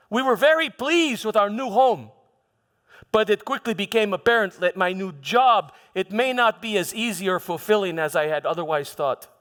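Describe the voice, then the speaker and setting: Man acting proud Roman governor, exasperated, high male voice, halting storytelling, theatrical monologue, long hall reverb. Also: fairly bright tone. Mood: pleased, reminiscing. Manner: halting storyteller, repeating words for emphasis. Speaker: church dramatist performing a monologue. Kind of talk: theatrical first-person dramatic monologue. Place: church hall before an audience. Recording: echoey church hall, music behind.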